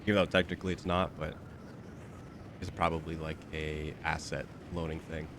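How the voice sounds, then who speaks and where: Low-voiced congested young man chatting. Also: little pitch movement, normal speed, background noise. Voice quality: nasal. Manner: rambling, self-interrupting, lots of fillers. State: congested, slightly nasal. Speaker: streamer. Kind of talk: casual chat while gaming.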